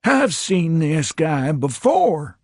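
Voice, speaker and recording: An impatient, pudgy small-town police chief speaks in a high, nasal, fussy voice, as a male narrator puts him on. He sounds slow and peevish, and his voice has a slightly different, pinched timbre. The recording is dry and close. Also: big pitch swings, a little mellow, no room sound, exaggerated voice.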